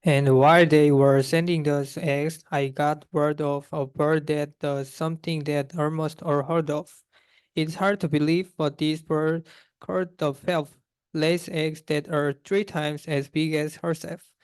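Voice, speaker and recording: A man's voice: fairly high pitch, level tone, measured pace, clear, resonant tone. A young man reading a rhyming children's storybook aloud. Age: young adult. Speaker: student reader.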